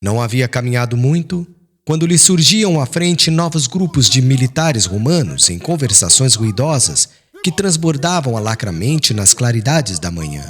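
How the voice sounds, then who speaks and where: A man giving a solemn, expressive, dramatic reading of a literary novel as an audiobook narrator. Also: medium pitch, normal speed, slightly rough.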